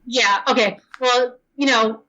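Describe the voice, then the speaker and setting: A chatty woman explains her methods in a casual interview, words tumbling out with plenty of ums. She sounds tired and a touch hoarse. The audio is slightly dull and lo-fi. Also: on the high side, fairly even intonation, pushed and bright. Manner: chatty, fast, lots of ums and you knows. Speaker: blogger guest. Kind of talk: podcast interview, casual advice.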